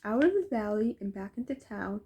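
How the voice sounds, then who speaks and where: A woman's voice: mid-range voice, expressive, brisk, warm tone, slightly rough. A woman reading a children's storybook aloud as an amateur reader.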